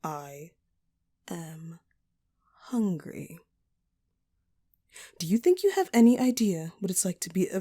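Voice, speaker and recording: A woman playing a dominant, hungry female vampire in an audio roleplay, taunting and menacing her prey in a condescending, breathy voice. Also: lowish voice, mellow. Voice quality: breathy, often dropping toward a whisper. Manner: slow, teasing, condescending, menacing. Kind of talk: audio roleplay acting, addressed to the listener.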